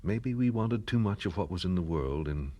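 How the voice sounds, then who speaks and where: Regretful businessman husband, husky, animated intonation, gentle pleading, vintage radio drama. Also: low voice, normal speed. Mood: earnest, regretful. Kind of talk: 1970s radio drama acting.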